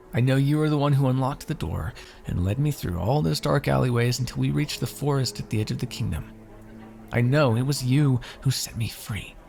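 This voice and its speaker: A man's voice: medium pitch, creaky, a little mellow. A man playing a smug, lovestruck royal in an ASMR fantasy roleplay, with theatrical, teasing, courtly phrasing.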